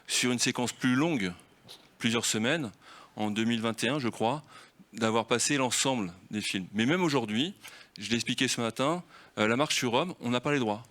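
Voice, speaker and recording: Talkative man leading a film round table. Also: medium pitch. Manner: lively and talkative, explaining with rhetorical questions. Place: festival round-table room.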